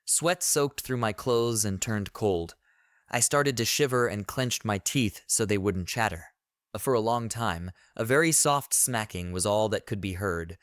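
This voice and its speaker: Male horror narrator, low pitch, clean smooth tone, dry close-mic sound, deliberate storytelling. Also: no room sound. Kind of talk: scripted first-person horror narration.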